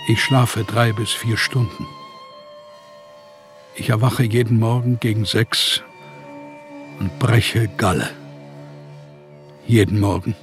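An actor playing a despairing, bitter exiled writer reading out his letters slowly and wearily in a radio play. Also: lowish voice, fairly even intonation, music in the background. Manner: slow, weary, emphatic delivery of letters. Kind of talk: literary radio play monologue.

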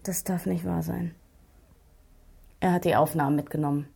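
A woman's voice: deep voice, steady tone, moderate pace, creaky, warm tone. An actress playing a level-headed, pointed female police investigator in a radio crime drama. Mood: alarmed. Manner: reasoning, pointed.

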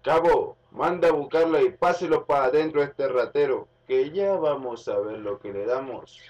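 A man playing a gruff, scornful rural police chief in a radio drama, curt and commanding. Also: mid-range voice, moderate pace, darkish tone, pitched above the performer's own voice, lightly acted. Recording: muffled, distant, music behind.

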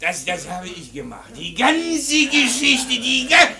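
A man's voice: extremely high pitch, very animated, slow, sharp tone, recorded from a distance, exaggerated voice. An actor in a German radio play voicing a tipsy, boastful man, boisterous, stammering and chuckling. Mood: boastful, amused. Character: tipsy, boastful man showing off his tavern design.

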